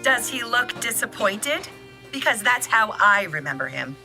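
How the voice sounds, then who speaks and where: A woman's voice: fairly high pitch, very animated, unhurried, sharp tone, faint music behind, heavily acted. A woman acting in an audio drama as a bitter, skeptical middle-aged daughter, speaking in a curt, wounded way. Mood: hurt, resentful.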